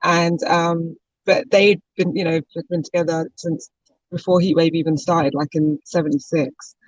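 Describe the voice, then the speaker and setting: A woman's voice: sits low, flat delivery, normal speed, dry recording. Chatty woman singer on a lo-fi call. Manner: chatty, laughs easily, many fillers. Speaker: singer-songwriter guest. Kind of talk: casual interview chat.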